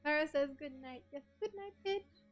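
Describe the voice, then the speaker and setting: An Australian woman with a soft, breathy voice, chatting casually with her stream viewers and reading their messages aloud. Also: sits high, normal speed, faint music behind. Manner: chatty, reads chat messages aloud and answers them. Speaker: game streamer.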